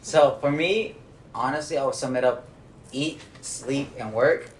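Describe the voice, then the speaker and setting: A man's voice: medium pitch, a little slow. Young male student co-host, easygoing and thoughtful, mid-range voice, roomy echoey recording. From United States. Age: young adult. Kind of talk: casual podcast chat. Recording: echoey room, faint noise.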